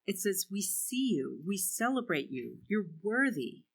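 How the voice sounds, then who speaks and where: A woman playing a hopeful, faithful townswoman who answers gently and reassuringly in an amateur church dramatic reading. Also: medium pitch, big pitch swings, measured pace, creaky, heavily acted.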